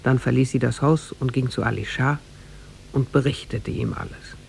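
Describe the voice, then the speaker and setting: Measured male storyteller, middle pitch. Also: gravelly, darkish tone, a little hiss or hum. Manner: measured, formal, literary diction. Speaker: narrator. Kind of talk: scripted storytelling narration, 1950s German radio drama.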